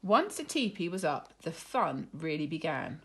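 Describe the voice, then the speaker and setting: A woman reading a children's story aloud in an expressive, deliberate teacherly way. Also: deep voice, slight background noise. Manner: animated, deliberate.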